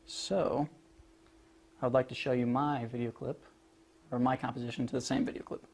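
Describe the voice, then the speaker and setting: A young man giving a prepared student presentation, explaining his work in a polite, measured way. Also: medium pitch, level tone, vocal fry, darkish tone, faint music behind. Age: young adult.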